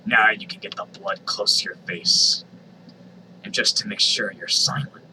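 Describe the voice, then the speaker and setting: A man's voice: lowish voice, steady tone, unhurried, distant mic, noisy background, voice pushed down, lightly acted. A man voicing a menacing, sadistic male killer from a horror story, with a taunting, controlled delivery.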